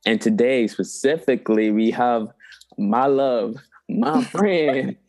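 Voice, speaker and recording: A young man hosting a casual interview podcast, animated and playful, laughing often and drawing out names for fun. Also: medium pitch, slow pace. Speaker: podcast host.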